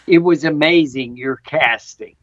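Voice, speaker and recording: A woman's voice: low voice, a little slow, no echo. A woman in a casual group chat, effusive and emphatic, given to amazed exclamations, over dull lo-fi call audio. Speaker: audio drama cast member.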